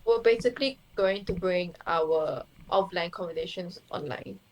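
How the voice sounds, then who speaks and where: A woman's voice: fairly low pitch, moderate pace, crowd noise, low-quality recording. Earnest young woman, casual podcast chat. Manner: earnest, laughs easily, uses filler "like". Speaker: podcast co-host.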